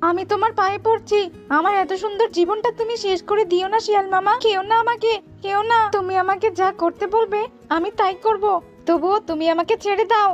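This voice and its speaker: A woman voicing a cowardly, scheming young crow in a children's cartoon, excitable and wheedling. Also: extremely high pitch, a little fast. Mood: frightened begging. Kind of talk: children's animated folk-tale acting. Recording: dull lo-fi audio with music behind.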